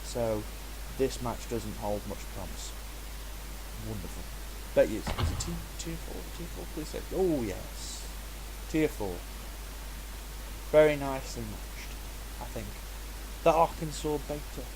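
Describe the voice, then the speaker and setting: A man's voice: medium pitch, lively intonation, unhurried, noisy background. Stuffy man narrating gameplay. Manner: chatty, self-mocking, reacts aloud to the game. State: stuffy, coming down with a cold. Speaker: gaming channel host. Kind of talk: casual gameplay commentary.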